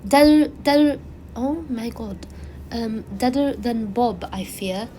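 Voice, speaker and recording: Woman, raspy, congested from a cold, casual rambling host, faint background hiss. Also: on the high side, slow. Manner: rambling, animated, frequent fillers and restarts. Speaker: channel host. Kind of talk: casual chatty commentary.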